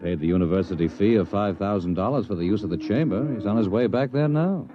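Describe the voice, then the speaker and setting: A man's voice: on the low side, fast talker, close to an everyday voice. An actor playing an anxious, earnest male scientist in charge of a time machine in a 1950s radio drama, hesitant yet urgent. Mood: uneasy. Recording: muffled 1950s radio broadcast, music behind.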